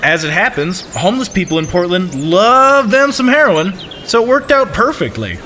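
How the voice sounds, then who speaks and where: Man acting a high-pitched, shifty huckster. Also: big pitch swings, normal speed, bright, forceful tone, slight background noise, heavily acted. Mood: glib. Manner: hesitant, evasive salesman patter. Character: shifty, overeager male charity huckster bluffing an inspector. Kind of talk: sketch comedy audio drama.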